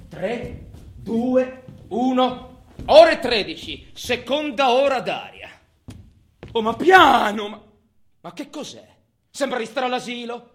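Volatile, obsessive prison inmate. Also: male voice, sits high, slight background noise, heavily acted. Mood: barked, agitated. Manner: swings from muttered confiding to barked, drawn-out announcements. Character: long-serving male prison inmate, obsessive about order, solitary, sardonic. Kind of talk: theatrical monologue, colloquial and profane.

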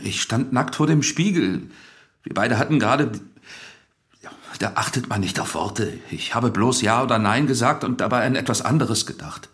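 A man's voice: mid-range voice, moderate pace, a bit bright, close to an everyday voice. An actor playing an evasive, troubled married man under questioning in a radio drama, answering tersely and defensively. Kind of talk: German radio drama acting.

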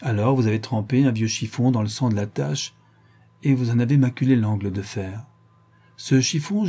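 Stern middle-aged woman interrogator, read by a man, husky and mellow, coldly accusing. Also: medium pitch. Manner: cold, probing, relentlessly accusing.

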